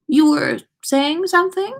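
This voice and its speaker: A woman's voice: high voice, unhurried, creaky, voice pushed up. A woman voicing a cheerful, whimsical old male wizard headmaster with a polite, theatrical, sing-song delivery, in a storybook reading. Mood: genial, inviting.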